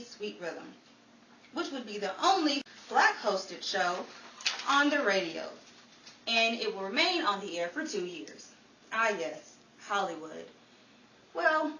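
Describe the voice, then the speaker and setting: Female narrator for 1940s-style radio show, very animated, bright delivery, recorded at a distance. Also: mid-range voice. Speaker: announcer and narrator. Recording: echoey, distant mic with faint noise.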